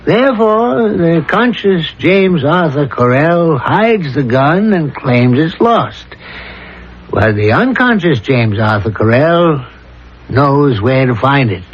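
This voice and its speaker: An actor playing a calm, insistent psychiatrist with a measured, deliberate delivery in a 1970s radio drama. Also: male voice, fairly high pitch, slightly rough, heavily acted. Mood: insistent, methodical. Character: calm, probing male psychiatrist pressing his patient with theories. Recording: dull lo-fi 1970s radio recording.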